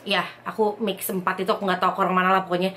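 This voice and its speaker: A young woman from Indonesia chatting casually as a vlogger, reading out viewer questions and answering them at home. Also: on the low side. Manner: lively, chatty, quick bursts, reads questions aloud. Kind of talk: casual chat.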